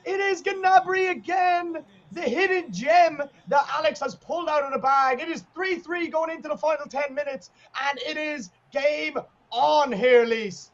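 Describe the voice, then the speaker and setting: High-voiced excitable male esports commentator. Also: a little slow, lo-fi sound. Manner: excitable, shouting at goals. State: losing his voice. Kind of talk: play-by-play commentary.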